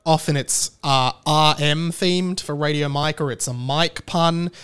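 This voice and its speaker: A man's voice: fairly high pitch, fairly even intonation, a little slow, a bit bright, clear, resonant tone. A man hosting a podcast solo in a casual, chatty style, rambling and often interrupting his own sentences. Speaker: podcast host.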